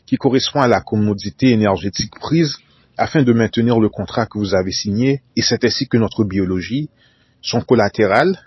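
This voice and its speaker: A man with a low, husky voice gives a formal solo monologue, often repeating whole sentences and spelling words out letter by letter. The recording is dull and lo-fi, with a dry sound. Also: no room sound. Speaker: conspiracy talk narrator.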